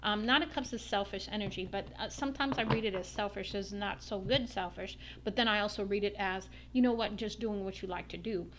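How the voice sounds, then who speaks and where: A woman giving a casual tarot reading, her voice slightly raspy from a long day, meandering with many ums and uhs. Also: mid-range voice, a little fast, hardly any pauses, background noise. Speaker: tarot reader.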